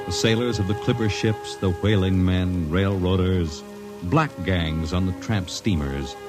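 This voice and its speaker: A man's voice: sits low, unhurried. A man with an American accent reading grand, formal scripted narration as a 1950s radio announcer. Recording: 1950s radio recording under loud music.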